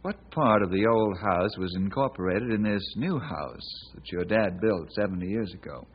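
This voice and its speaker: An actor playing a steady, kindly ranger in an old-time radio western, speaking in an earnest, folksy, persuasive way. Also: male voice, fairly low pitch, moderate pace, a little hiss or hum, close to an everyday voice. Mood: earnest, calm. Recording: dull, lo-fi old radio recording.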